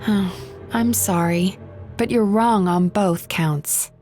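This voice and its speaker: An actress playing a composed, firm and caring dream therapist in an anime video game, speaking in a measured, explanatory manner. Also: female voice, medium pitch, mellow, soft background music, close to an everyday voice. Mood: calm, correcting.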